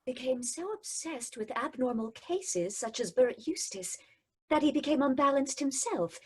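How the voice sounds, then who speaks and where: A woman playing a sharp-witted female companion in a radio drama, wry and questioning. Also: high-pitched, lively intonation, unhurried, breathy edge, full character voice. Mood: curious. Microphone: home mic over video call.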